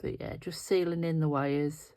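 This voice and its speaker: A woman's voice: low-pitched, steady tone, moderate pace, warm tone. A middle-aged woman with a sniffly, nasal voice, chatting casually and thinking aloud as she works through a craft tutorial. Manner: chatty, thinking aloud while working with her hands. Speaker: resin craft video host.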